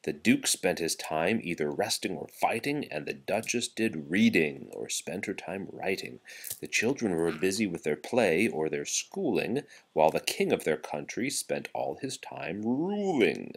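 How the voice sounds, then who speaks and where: A man's voice: sits low, sing-song, a little slow, vocal fry, breathy edge. A man reading a rhyming children's story aloud, with theatrical, rhythmic phrasing. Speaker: storybook reader. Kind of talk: expressive children's read-aloud of rhyming verse.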